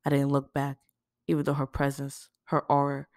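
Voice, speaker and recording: A woman's voice: a bass voice, monotone, moderate pace, darkish tone, dry recording, studio quality. A woman reading a first-person novel aloud as scripted narration. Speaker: audiobook reader.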